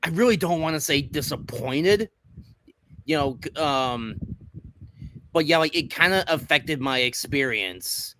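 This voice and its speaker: A man's voice: a little high, expressive, measured pace, fairly bright tone, a little hiss or hum. A man co-hosting a casual anime review, rambling with lots of fillers. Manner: rambling, many fillers and repeated words. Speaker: co-host.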